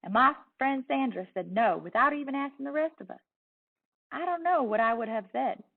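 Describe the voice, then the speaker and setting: A woman with a Southern accent reads a picture book aloud in an expressive storytelling style. Her voice sits a little high and often breaks into fry. The sound is thin and phone-like. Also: fairly quick. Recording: distant, tinny phone-like sound.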